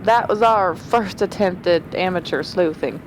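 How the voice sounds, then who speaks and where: A woman's voice: measured pace, natural voice. An actress playing a bold, inquisitive lady amateur sleuth on a train in an audio drama, direct and questioning. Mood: concerned. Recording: clear vehicle-like background rumble.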